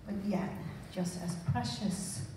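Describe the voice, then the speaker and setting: Echoey middle-aged woman giving slow invocation. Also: medium pitch. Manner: deliberate, emphatic short phrases with pauses. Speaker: minister leading invocation. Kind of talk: spiritual invocation. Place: small church sanctuary. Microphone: handheld microphone, filmed from audience. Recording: echoey hall, distant mic, faint noise.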